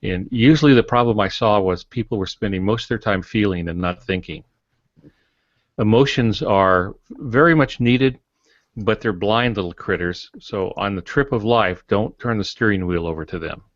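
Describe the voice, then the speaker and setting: An older man speaks in a low register, offering advice like a folksy mentor on a podcast. He leans on homespun figures of speech. The sound is close and dry with a somewhat muffled top end. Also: normal speed, no room sound. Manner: folksy, given to homespun metaphors. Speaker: podcast co-host and writing mentor. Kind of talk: casual advisory podcast talk. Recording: dull, lo-fi, dry podcast audio.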